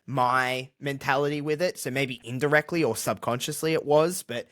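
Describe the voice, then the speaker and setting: A man's voice: mid-range voice, fairly even intonation, normal speed, fairly bright tone. Casual, candid Australian man. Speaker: podcast guest. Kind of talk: casual conversational interview.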